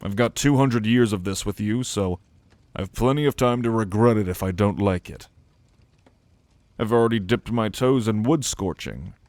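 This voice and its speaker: A man acting a brooding, dutiful ancient celestial being in an ASMR audio roleplay, speaking in a measured, formal, pensive way. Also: fairly low pitch, a little mellow, no echo, natural voice. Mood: tender, wry. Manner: measured, pensive, formal phrasing with deliberate pauses.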